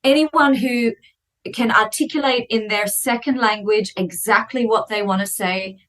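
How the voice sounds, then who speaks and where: A woman in her thirties, a podcast guest chatting in an animated, fast storytelling way. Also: a little high, poor audio. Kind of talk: casual conversation.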